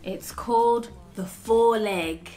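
Young lady teacher for little kids, highish voice, upbeat and encouraging, faint music behind. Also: slow. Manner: animated, encouraging, enunciated for small children. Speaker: early years teacher and host. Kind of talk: children's lesson presenting. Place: small room with plain walls, indoors.

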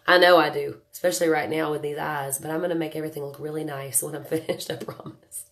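Low nasal woman with a cold. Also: steady tone, fast. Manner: chatty, joking, greets viewers by name. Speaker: makeup livestream host. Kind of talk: casual live makeup tutorial chat. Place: small home room indoors.

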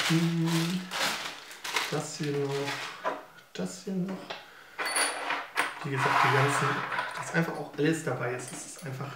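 A young man with a slight cold and a nasal voice, rambling excitedly with lots of filler sounds while unboxing gear at a living-room table. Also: fairly high pitch. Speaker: unboxing video host. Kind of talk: casual unboxing commentary. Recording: roomy, distant camera audio with faint hiss.

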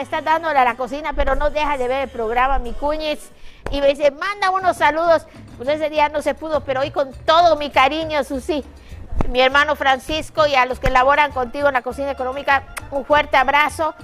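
A woman's voice: sits high, steady tone, a little fast, a bit bright, soft background music. A middle-aged woman hosts a live TV cooking segment in a studio kitchen, chatty and affectionate with viewers, full of exclamations. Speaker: TV cooking show host.

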